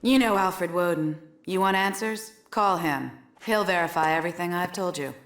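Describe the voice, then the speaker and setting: A woman's voice: on the low side, normal speed, a bit bright. A woman voicing a tough jailed hired killer in a video game, urgent, clipped and insistent. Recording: echoey room.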